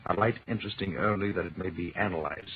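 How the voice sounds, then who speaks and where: An actor playing a playful, adoring professor husband with flowery, teasing delivery in 1930s radio drama. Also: male voice, low voice, fast talker, close to an everyday voice. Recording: noisy, muffled 1930s radio recording.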